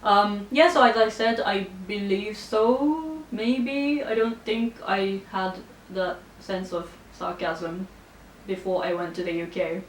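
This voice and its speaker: A woman's voice: mid-range voice, slow. A young adult woman from Japan, a native Japanese speaker, chatting casually to camera as a vlogger with a playful, self-deprecating manner. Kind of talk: casual chat to camera, answering viewer comments. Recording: roomy, distant mic, faint background noise.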